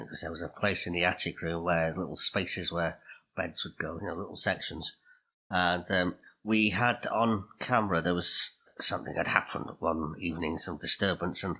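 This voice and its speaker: A man's voice: sits low, a bit bright. A man telling stories in a casual chat, feeling his way through his memories. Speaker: podcast host.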